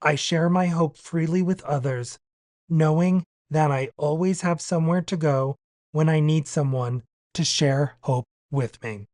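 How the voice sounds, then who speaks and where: A man's voice: on the high side, unhurried, darkish tone, dry recording, clean studio sound. A man reading a daily reflection aloud for a podcast. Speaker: podcast guest reader.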